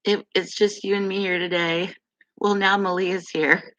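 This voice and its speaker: A middle-aged woman from West Virginia with a deep, gravelly voice, hosting a casual live chat with viewers, rambling and laughing often. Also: little pitch movement, unhurried, a bit bright, no room sound, poor audio. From West Virginia, USA. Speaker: live stream host.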